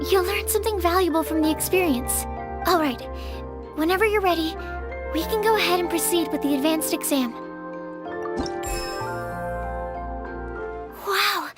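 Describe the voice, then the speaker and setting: A young female lab assistant in a fantasy game, with an extremely high, girlish pitch. She speaks gently and patiently, reassuring the listener. Soft-hearted lines sit over clear background music. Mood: encouraging. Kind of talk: video game voice acting.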